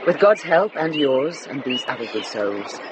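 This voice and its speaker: Bossy older nun, dry n brisk, swoopy intonation, radio play w noisy background. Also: female voice, rumbling low, sing-song. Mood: calm, firm. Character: brisk, wry, commanding older nun, kindly underneath. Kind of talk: radio drama acting. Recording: noisy ambience behind dialogue.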